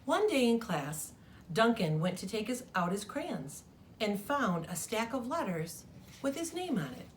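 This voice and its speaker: A woman reads a children's picture book aloud. Her pace is slow and her pitch is very lively. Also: a little hiss or hum. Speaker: storyteller.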